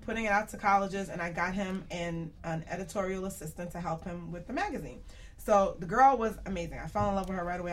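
A woman hosting a radio show, giving conversational business advice with expressive storytelling and acted-out quotes. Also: medium pitch. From New York, United States.

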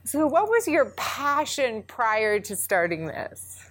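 A woman's voice: on the high side, sing-song, slow, a bit bright. A woman hosts an interview, guiding it with short prompts. She follows up on each answer with new questions. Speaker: interviewer host. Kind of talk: interview conversation.

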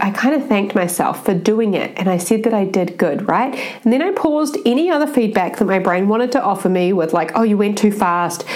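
Woman with a stuffy, blocked-up voice, mid-pitched, giving a sincere motivational talk. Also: darkish tone. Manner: earnest, keeps adding "right?". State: congested, blocked-up nose. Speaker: self-help speaker.

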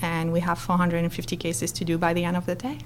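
A woman's voice: fairly low pitch, fast talker, a little hiss or hum. A middle-aged woman from France with a French accent, animatedly telling her story as an interview guest. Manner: animated, expressive, acts out quotes.

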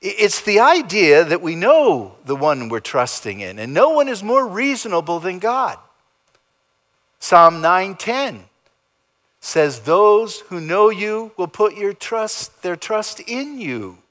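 A man preaching a sermon as pastor, in a dramatic style that swings between loud emphasis and soft, low endings. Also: sing-song, takes it slowly. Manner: dramatic preaching with big swings in pitch and loudness. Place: church sanctuary.

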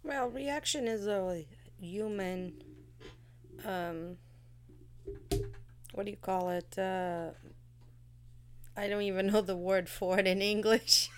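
A woman with a Portuguese accent, English her second language, chatting casually with her husband and often pausing to search for words. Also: mid-range voice, slight background noise. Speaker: podcast guest, host's wife. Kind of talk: casual chat. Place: same room as host, recording studio setup.

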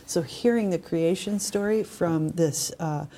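A woman's voice: lowish voice, measured pace, dark tone, slight background noise. A woman co-hosting a podcast in casual, reflective conversation. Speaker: podcast co-host.

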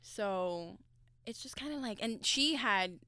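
A young woman, a guest on a casual podcast, speaking quickly and earnestly and often chiming in to agree. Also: mid-range voice, expressive, sharp tone, clear, resonant tone, no echo. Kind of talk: casual chat.

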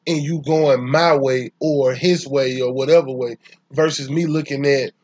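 A man's voice: mid-range voice, fairly even intonation, normal speed, darkish tone, highs cut off, slight background noise. A young man with a Southern Atlanta accent, talking in a rambling, profane, emphatic way in casual conversation. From Atlanta, Georgia, US. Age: young adult.

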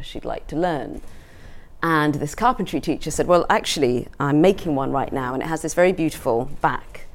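A middle-aged southern English woman with a notably low voice. She gives a personal talk to an audience, speaking conversationally and reflectively, as an artist discussing her own practice. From England.

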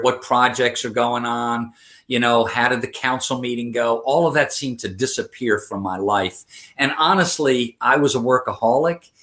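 A man's voice: mid-range voice, fairly bright tone. A man in his fifties giving an interview, speaking earnestly, with frequent fillers and restarts. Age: 50s. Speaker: interview guest. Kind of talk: conversational interview.

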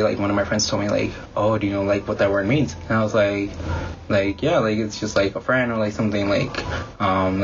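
A young man whose first language is Spanish, answering interview questions in an earnest, halting way full of "like". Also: on the low side, muffled recording, slight background noise. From Mexican-American community. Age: young adult. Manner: earnest, halting, heavy use of "like". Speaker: interviewee, student.

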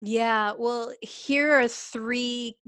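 A woman's voice: a little high, steady tone, extremely slow, a bit bright, no echo, studio quality. A woman from Texas with a Texan accent, chatting about her small business in a casual interview, upbeat and full of fillers. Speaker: small business owner and coach. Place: home, working remotely.

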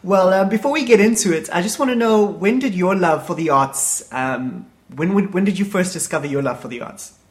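A man's voice: high voice, fairly quick. A man with a South African accent hosting a casual radio interview in an upbeat, chatty, effusive manner.

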